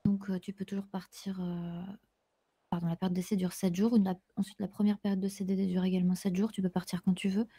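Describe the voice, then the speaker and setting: Une femme jouant une patronne de garage organisée dans un jeu de rôle improvisé, qui explique en hésitant. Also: female voice, medium pitch, little pitch movement, quick pace, dark tone, breathy edge. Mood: calm, explanatory. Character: business-like woman boss of a car garage, orderly. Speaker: manager recruiting a new employee. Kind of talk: improvised online roleplay dialogue.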